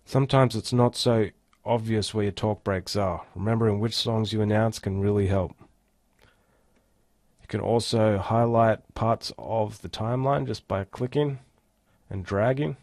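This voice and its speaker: A man giving a casual, step-by-step software walkthrough as an instructor. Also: lowish voice, fairly even intonation, unhurried, no room sound, studio quality. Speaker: tutorial instructor. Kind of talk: screen-recorded software tutorial, casual walkthrough.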